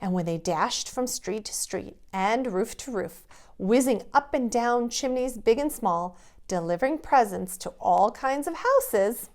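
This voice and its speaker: A woman reading a storybook aloud to young children in an expressive storytelling manner. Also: a little high, measured pace.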